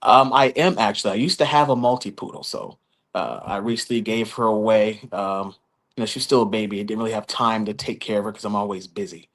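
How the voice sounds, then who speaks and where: Male contestant from Los Angeles, slightly low voice, earnest, halting delivery. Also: fairly even intonation, a bit bright. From Los Angeles, California. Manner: earnest, many um fillers. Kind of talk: casual live chat.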